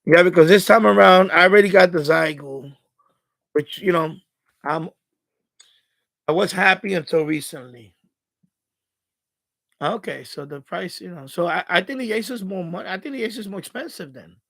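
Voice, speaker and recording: A middle-aged man hosting a casual live stream, rambling and thinking aloud in a halting way. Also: sits high, gravelly, a bit bright.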